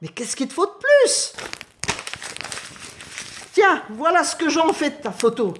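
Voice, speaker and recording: A woman's voice: sits high, sing-song, measured pace, a bit bright, slight background noise, full character voice. A woman playing a devoted, fierce middle-aged peasant mother in an audio drama. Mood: furious. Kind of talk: French audio drama acting.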